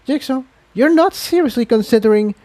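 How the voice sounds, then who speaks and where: A high, anxious young unicorn mare from a fantasy storybook, voiced by a man. The delivery is urgent and hushed, pitched well up and softened at the edges. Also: animated, brisk. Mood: alarmed. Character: young female unicorn pony, alarmed and cautious.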